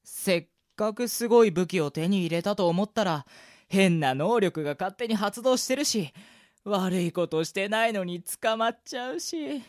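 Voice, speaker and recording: A woman playing a naive, whiny, excitable young boy in an anime-style radio drama, with a plaintive childlike delivery. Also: medium pitch, normal speed, no room sound, studio quality, natural voice. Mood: dejected.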